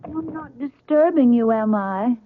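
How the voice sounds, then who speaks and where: Earnest young wife, thin radio sound. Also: female voice, fairly high pitch, animated, unhurried, narrow, tinny audio. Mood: tentative, concerned. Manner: direct, probing, hesitant. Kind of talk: old-time radio drama acting. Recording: thin, band-limited old radio recording.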